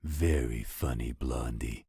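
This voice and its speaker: A man's voice: rumbling low, takes it slowly, creaky, warm tone, slightly rough, no room sound, studio quality, full character voice. A man playing an easygoing, coaxing partner in a scripted roleplay audio drama, with a patient drawl. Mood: coaxing, insistent. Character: easygoing male partner soothing his mate, potato-loving.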